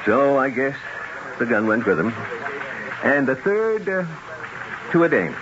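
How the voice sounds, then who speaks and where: Brash, animated gun shop owner. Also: male voice, mid-range voice, measured pace. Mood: brash, boastful. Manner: brash, slangy, grumbling. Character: irritated, talkative gun shop owner, a man. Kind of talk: 1970s radio drama acting. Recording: muffled, noisy 1970s radio recording.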